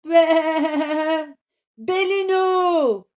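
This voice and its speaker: A small young ram from a children's storybook, crying out in high, bleating, drawn-out calls, voiced by a woman. The voice is thin and wavers like a lamb's. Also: animated, a little mellow.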